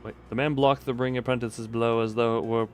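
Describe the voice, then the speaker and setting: A man's voice: mid-range voice, flat delivery, brisk. A man on a live game stream, reading dialogue aloud theatrically and adding dry, casual asides. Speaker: livestreamer. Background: game music.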